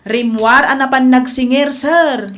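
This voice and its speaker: A woman's voice: high voice, measured pace, a bit bright. A woman playing a meek but candid young employee in a radio comedy drama, deferential and hesitant.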